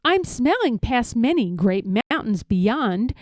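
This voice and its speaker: Storybook bear, male, gruff and scornful, animated swings, unhurried boasting, played by a woman. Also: fairly high pitch, dry recording, studio quality. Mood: competitive boasting. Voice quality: gruff, growling. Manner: snorting, scornful, emphatic. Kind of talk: storybook read-aloud character voice.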